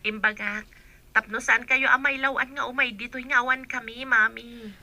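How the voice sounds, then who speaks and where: A woman acts as a young married woman with a high voice and an Ilocano Filipino accent. She is gentle and respectful, as in a family radio drama. The sound is close and dry. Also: steady tone, moderate pace, no echo.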